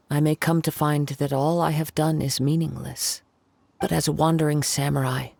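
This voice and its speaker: An actor voicing a calm, poetic young wandering samurai in a video game, speaking in a measured, reflective way. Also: male voice, on the high side, vocal fry, breathy edge, no echo, exaggerated voice. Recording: game music behind.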